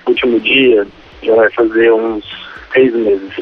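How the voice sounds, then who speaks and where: A man's voice: fairly low pitch, steady tone, slow. A man of twenty-nine, phoning in to a radio show and talking casually. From Ribeirão Preto, Brazil. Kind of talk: casual phone-in storytelling. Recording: muffled, husky phone line, faint music.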